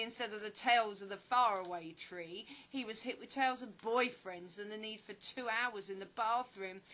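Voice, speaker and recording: A woman's voice: on the high side, bright, forceful tone, rough recording. A woman acting in an audio play as a guarded, wistful character, speaking in a reserved and hesitant way. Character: guarded, wistful woman living alone, grieving her father.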